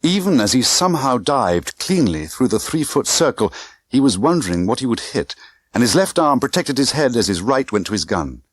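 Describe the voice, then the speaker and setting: A man's voice: medium pitch, big pitch swings, moderate pace, no room sound. A man reading a thriller audiobook in a tense, theatrical manner with clipped short phrases. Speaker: audiobook narrator. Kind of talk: dramatic scripted narration of a spy thriller novel.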